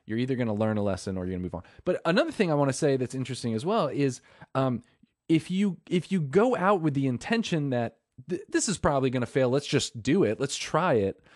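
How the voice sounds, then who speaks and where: A man's voice: medium pitch, big pitch swings, quick pace, no echo, studio quality. A man hosting a podcast alone in a room, rambling and enthusiastic, often correcting himself mid-sentence. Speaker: podcast host. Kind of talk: casual solo podcast talk.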